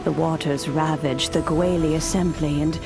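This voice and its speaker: An actress playing a serene elderly female sage in a game, telling ancient legends in a measured, reverent manner. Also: low voice, steady tone, gravelly, music in the background. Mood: solemn, reverent. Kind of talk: scripted game narration, solemn storytelling.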